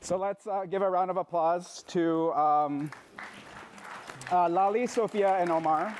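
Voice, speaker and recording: A man's voice: high voice, steady tone, measured pace, darkish tone, some chatter behind, a little hiss or hum. A man hosting a live event on stage, introducing guests and asking questions with frequent ums and uhs. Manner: hesitant, many ums and uhs. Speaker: event host.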